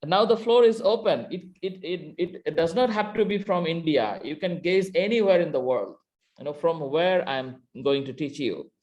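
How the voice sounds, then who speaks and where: A man's voice: on the high side, animated, moderate pace, a little mellow, lo-fi sound. Man, Bangladeshi accent, university lecturer, online class, computer mic, hesitant, lots of fillers. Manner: hesitant, many fillers and restarts. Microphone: computer mic on video call.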